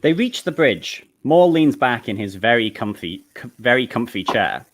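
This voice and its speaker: Lively male narrator reading stage directions. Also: mid-range voice, moderate pace. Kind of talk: scripted narration at a live comedy table read.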